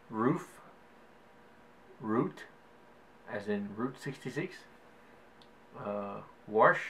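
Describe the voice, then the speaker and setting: A man's voice: lowish voice, a bit bright, a little hiss or hum, low-quality recording. A young man from South Louisiana with a Cajun accent, rambling casually through a word list in a vlog, with lots of "like" and "um". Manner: rambling, hedging with "like" and "um". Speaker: vlogger.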